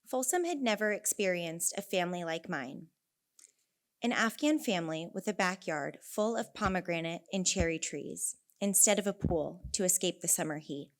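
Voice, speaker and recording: A young woman with a California accent giving a prepared conference talk, earnest and storytelling, with wry asides. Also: medium pitch. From Northern California. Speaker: conference speaker, elementary teacher. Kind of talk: prepared speech.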